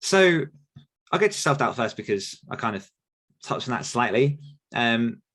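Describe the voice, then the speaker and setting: Guy on a podcast, lowish voice, wanders around his answers with lots of ums. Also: a bit bright. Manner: rambling, self-correcting, frequent fillers. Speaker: podcast host answering listener questions. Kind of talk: casual Q&A chat.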